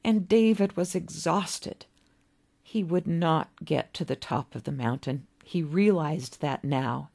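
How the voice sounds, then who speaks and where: A woman reading literary fiction aloud as an author would, with an expressive, dramatic storybook delivery and deliberate pauses. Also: low voice, gravelly, slightly rough. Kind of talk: expressive scripted story narration.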